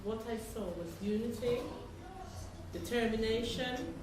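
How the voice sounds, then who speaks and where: A woman's voice: a little high, unhurried. A woman giving a reflective account in a group discussion, searching for her words as she goes. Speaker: community meeting participant. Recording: echoey room, distant mic, noisy.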